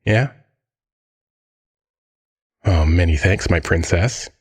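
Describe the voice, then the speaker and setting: A man playing a swaggering, smug billionaire crime-boss father in an audio drama, with a husky, drawling, mock-affectionate delivery. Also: low voice, takes it slowly, a little mellow, heavily acted. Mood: jovial, smug.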